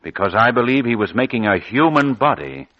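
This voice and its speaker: Actor as a suave gentleman sleuth, old radio. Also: mid-range voice, expressive, brisk, natural voice. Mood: suspicious. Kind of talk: 1940s radio drama acting. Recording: muffled old radio drama, music behind.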